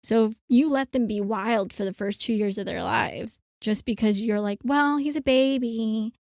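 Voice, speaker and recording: Chatty woman co-host, high voice. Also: normal speed, darkish tone, no echo, clean studio sound. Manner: chatty, rambling with many fillers. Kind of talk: casual chat.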